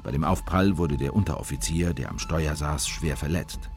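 An actor playing a sombre conspirator recalling events as a deliberate narrator in a radio documentary drama. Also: male voice, rumbling low, soft background music. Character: male memoir narrator, sombre conspirator recalling a failed plan.

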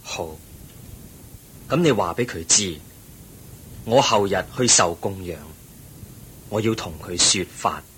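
Against a clear rumbling noise, a wise Buddha figure in a radio drama, speaking very slowly with a Hong Kong Cantonese accent. His teaching is patient and calm, yet the intonation rises and falls widely. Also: heavily acted. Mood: calm concern. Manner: measured, instructive, explaining patiently. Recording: noisy recording with low rumble.

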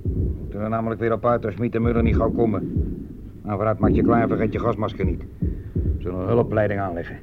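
An actor in a radio play playing a gruff, weary army sergeant with a blunt, commanding but fatherly way of talking. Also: on the low side, steady tone, quick pace, long pauses, close to an everyday voice. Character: gruff, weary army sergeant looking after his young soldiers. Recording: muffled, crackly old radio play recording.

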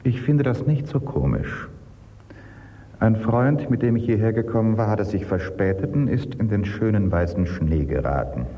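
Deep, grave bunker survivor. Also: male voice. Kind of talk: radio drama acting. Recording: dull, distant, long hall reverb.

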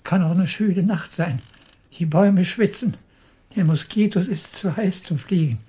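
An actress playing a fearful, sleepless country wife in a radio drama, speaking in short uneasy phrases with a breathy, husky voice. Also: female voice, on the low side, moderate pace, warm tone. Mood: uneasy, trembling.

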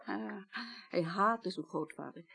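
A woman's voice: mid-range voice, takes it slowly, creaky, fairly bright tone, slightly rough, exaggerated voice. A woman playing an evasive, loyal, bereaved middle-aged lady, formal and hesitant, in a radio drama. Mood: wry, guarded. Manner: hesitant, defensive, formally polite.

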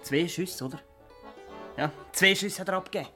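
An excitable small-town know-all in a radio play. His voice sits a little high and he rambles, doubling words and angling for agreement. Music sounds behind him. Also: moderate pace. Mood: animated, indignant. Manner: rambling, repeats words, seeks agreement with tag questions. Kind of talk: radio drama acting.

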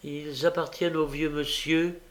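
A man voicing a gentle, desperate orphan boy in an audiobook story, imploring and anguished. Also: on the high side, little pitch movement, measured pace, natural voice. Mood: desperate pleading.

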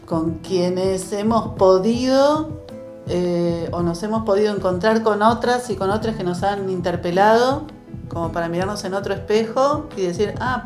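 A woman with an Argentine accent reflecting aloud in conversation, searching for words and posing rhetorical questions. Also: lowish voice. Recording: roomy echo, music behind.

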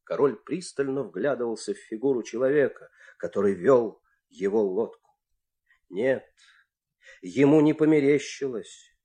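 A man's voice: medium pitch, expressive, unhurried, dark tone. A man narrating a fairy tale for an audio play in a theatrical, suspenseful storytelling manner.

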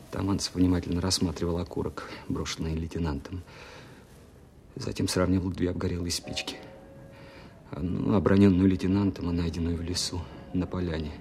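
Observant army investigator, low pitch, measured first-person narration, radio drama, noisy ambient backdrop. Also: male voice, darkish tone. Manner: measured, matter-of-fact. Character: observant, composed male army investigator narrating his surveillance.

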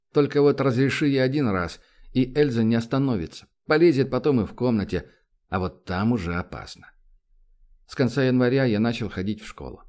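A man narrates a first-person story in a wry, confiding tone, as if letting the listener in on a secret. His voice is mellow and warm and sits at an ordinary middle pitch. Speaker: audiobook narrator.

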